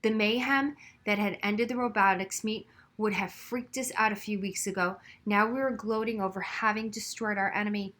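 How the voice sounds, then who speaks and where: A woman reading a children's novel aloud as narrator, with expressive storytelling delivery. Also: mid-range voice, moderate pace, hardly any pauses. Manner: narrates expressively, acting out dialogue. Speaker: audiobook reader.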